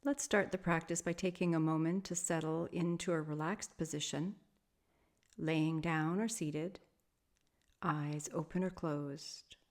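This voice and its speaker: A woman teaching guided sleep meditation, speaking softly and soothingly in measured phrases with long pauses between them. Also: sits low, steady tone. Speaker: yoga nidra meditation teacher.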